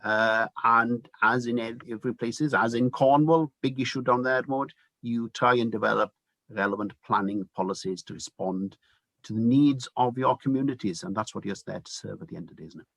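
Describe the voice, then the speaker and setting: A man from Wales, a politician, answering interview questions hesitantly with many pauses and fillers. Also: mid-range voice, gravelly, a bit bright, no echo, poor audio. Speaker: politician guest.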